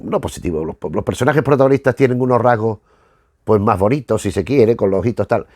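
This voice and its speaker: A man's voice: lowish voice, darkish tone, no echo, studio quality. A man from giving an informal, digressive commentary from notes as host of a retro review show, often trailing off into vague tags.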